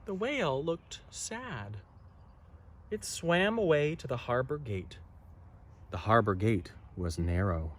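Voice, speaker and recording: A man reading a children's story aloud expressively, with dramatic pauses and asides to listeners. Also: mid-range voice, very animated, a bit bright. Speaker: storybook reader.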